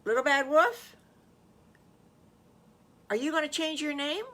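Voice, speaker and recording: An excitable little storybook pig, put on by an older woman, pitched high and bright. The pig is impatient and insistent, blurting words out loudly and repeating them. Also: unhurried. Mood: curious.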